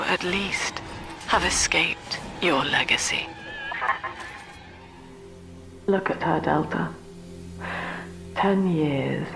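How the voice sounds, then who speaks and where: Cold middle-aged female zealot, unhurried, creaky voice, solemn game monologue, precise enunciation, music behind. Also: on the low side, low-quality recording. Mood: cold, resigned. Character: cold, cerebral middle-aged woman, zealous cult leader and mother. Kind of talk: dramatic video game monologue.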